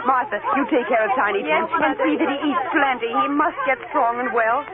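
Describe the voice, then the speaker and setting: A woman's voice: sits high, brisk, a loud crowd, lightly acted. An actress playing a plain-spoken working-class mother in a vintage radio drama. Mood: motherly, bustling. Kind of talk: 1930s radio play acting. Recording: tinny, faintly noisy vintage radio broadcast.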